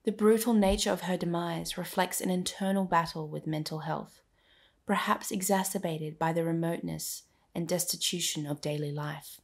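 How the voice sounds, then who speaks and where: A woman's voice: lowish voice, steady tone, normal speed. A woman narrating a scripted history podcast, speaking directly to the listener in a dramatic storytelling manner.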